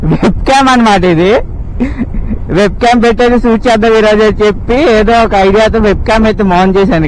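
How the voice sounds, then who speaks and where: Man, high voice, casual rambling motovlog chat, clear vehicle noise behind. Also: rough recording. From Andhra Pradesh, India. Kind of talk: casual vlog chat while riding. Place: outdoors on a motorbike at night. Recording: constant road and vehicle noise. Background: traffic and vehicle noise.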